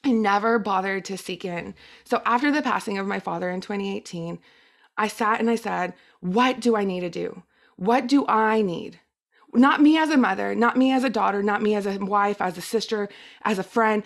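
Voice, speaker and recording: A woman's voice: medium pitch, moderate pace, vocal fry. A middle-aged woman whose first language is Spanish, giving a personal testimonial talk as a guest speaker in an earnest, emphatic manner.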